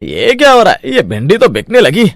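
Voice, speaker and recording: A man's voice: high voice, huge pitch swings, fairly quick, creaky, dry recording, studio quality, exaggerated voice. A man playing an avuncular eatery owner and boss in a Hindi moral cartoon story, speaking in exclamations. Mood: amazed, delighted. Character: approving dhaba owner, the young cook's boss.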